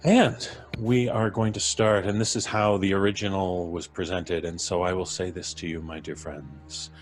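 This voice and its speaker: A man's voice: sits low, steady tone, moderate pace, faint music behind. A man hosting a live audio drama show, giving a theatrical introduction.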